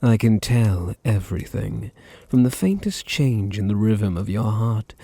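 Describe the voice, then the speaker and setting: A man playing a possessive, teasing vampire master in an intimate ASMR roleplay, with a deep, husky British voice and a languid, condescending manner. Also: warm tone, no room sound, full character voice. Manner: languid, condescending, purring endearments.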